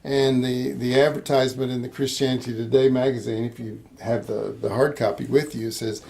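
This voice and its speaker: Older man with a gravelly voice. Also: mid-range voice, steady tone, fairly quick, darkish tone. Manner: rambling, hesitant restarts, laughs easily. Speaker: interviewer, missions organization host. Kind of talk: casual conversational interview.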